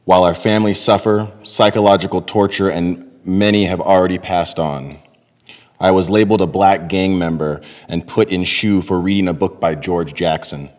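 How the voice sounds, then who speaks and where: Very deep-voiced man in an echoing hall. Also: fairly even intonation, moderate pace, a little mellow. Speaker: reader in a readers theater. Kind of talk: dramatic reading of testimonies. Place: large temple sanctuary, at a lectern. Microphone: podium microphone on a boom stand. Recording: long hall reverb.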